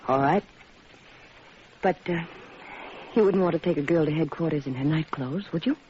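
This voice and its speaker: A woman's voice: low voice, normal speed, a little mellow, close to an everyday voice. Flirtatious society widow acted by a woman, arch and poised, muffled 1940s radio drama with light noise. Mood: coy, evasive. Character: coy, cultured, flirtatious brunette widow. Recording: muffled, noisy vintage radio recording.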